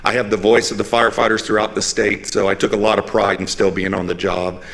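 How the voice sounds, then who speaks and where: A man who is a firefighter and union leader, speaking to a council in an earnest, proud way and pausing often on fillers. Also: lowish voice, little pitch movement, a bit bright. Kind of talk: short address to council.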